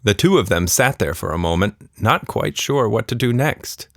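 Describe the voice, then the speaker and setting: Animated male children's storyteller. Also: on the low side, moderate pace, dry recording, studio quality. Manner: expressive, dramatic storytelling. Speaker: storyteller and podcast host. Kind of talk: scripted children's story narration.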